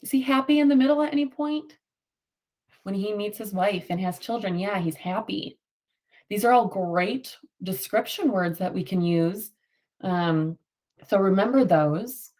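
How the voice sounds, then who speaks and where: A woman's voice: mid-range voice, darkish tone. A woman teacher reading a picture book aloud to children and prompting them with questions, affirming their answers.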